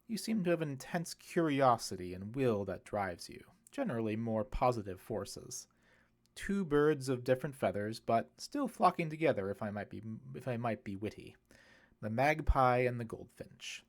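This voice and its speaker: A kindly priest in an audiobook, acted out by a man, muses and explains with gentle wit. His mid-pitched voice rises and falls in a very lively way, and his manner is reflective and apologetically polite. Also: moderate pace. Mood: musing. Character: kindly, musing, gently witty adult male priest. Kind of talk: audiobook character dialogue.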